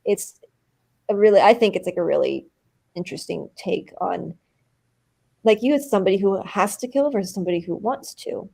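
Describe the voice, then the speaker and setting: A woman's voice: mid-range voice, a little fast, gravelly, long pauses, husky. A woman, an actor, talking animatedly in a live-stream interview and filling her speech with "like". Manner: animated, uses many "like" fillers.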